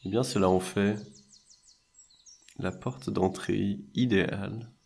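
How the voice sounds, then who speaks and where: A man guiding a meditation in a soothing, measured way, leaving long pauses between short phrases. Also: on the low side, fairly even intonation, gravelly, a little mellow, husky. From French-speaking Switzerland. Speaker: meditation and hypnosis guide. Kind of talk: guided meditation narration.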